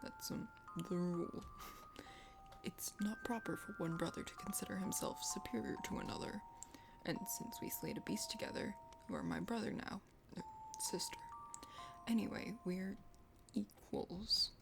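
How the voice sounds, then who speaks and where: A proud young hunter prince from a visual novel, acted by a woman, speaks with a gravelly creak. He is lordly yet bashful, often halting over his words. Music plays clearly underneath. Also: mid-range voice, pitched below the performer's own voice, close to an everyday voice.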